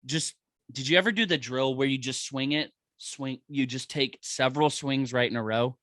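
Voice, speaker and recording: A man co-hosting a podcast in casual chat, animated and saying "bro" and "dude" a lot. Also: medium pitch, normal speed, no room sound, studio quality. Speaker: podcast co-host.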